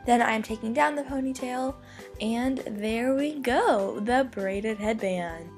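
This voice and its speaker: A young woman with a slightly raised voice that is going a little hoarse. She talks through a hair tutorial in a chatty, upbeat way, full of casual fillers, with faint music playing under her. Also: fairly high pitch, slow pace. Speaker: beauty vlogger. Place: bedroom.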